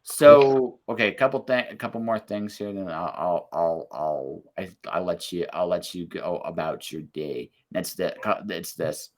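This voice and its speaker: A man hosting a podcast interview over a video call, upbeat and encouraging, talking in quick bursts. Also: low voice. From Canada. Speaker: podcast host. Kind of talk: casual interview chat.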